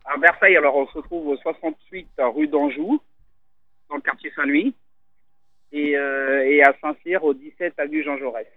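A man from Italy, a restaurant owner, talking casually on the phone in an interview, relaxed and hesitant with many fillers. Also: fairly high pitch, moderate pace, dry recording. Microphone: telephone. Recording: tinny phone line.

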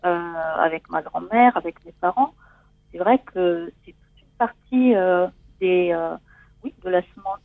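A woman's voice: lowish voice, dry recording, narrow, tinny audio. A woman in her forties from Italy, speaking with an Italian accent in a hesitant, conversational way as she shares something personal. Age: 40s. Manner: hesitant, searching for words. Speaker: guest seeking coaching.

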